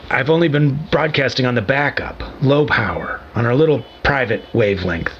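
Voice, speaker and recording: An actor playing a rueful radio operator in an audio drama, confiding in a wry, halting way with a gravelly voice. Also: male voice, mid-range voice, fairly bright tone, close to an everyday voice. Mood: wry, measured. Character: rueful lone male radio operator confessing a grave mistake. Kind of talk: found-footage audio drama monologue. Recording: dull lo-fi radio transmission with static.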